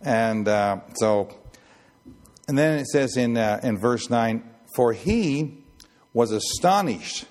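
A man in his sixties with a raspy voice, giving an informal Bible teaching and rambling with many fillers and restarts. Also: mid-range voice, expressive, slow, a little mellow. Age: 60s. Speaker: ship chaplain preacher.

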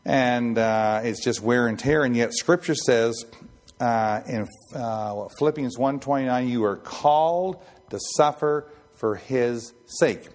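Man in his sixties, raspy voice, informal preaching, rambling with fillers, mid-pitched. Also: takes it slowly, darkish tone. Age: 60s. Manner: rambling, many fillers and restarts. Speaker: ship chaplain preacher. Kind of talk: informal Bible teaching.

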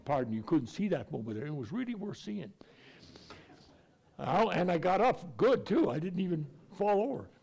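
A man's voice: fairly high pitch, sing-song, normal speed, creaky, a little mellow, husky. A man preaching a conversational Bible teaching, folksy and plainspoken, with an occasional stutter and restarts.

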